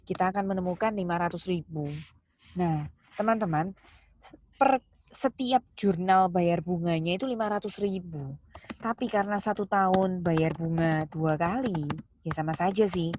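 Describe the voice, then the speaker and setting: A woman's voice: fairly low pitch, darkish tone. A woman giving a step-by-step accounting lesson, didactic, posing questions and stressing key terms. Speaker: accounting lecturer. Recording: clear background noise, keyboard clicks.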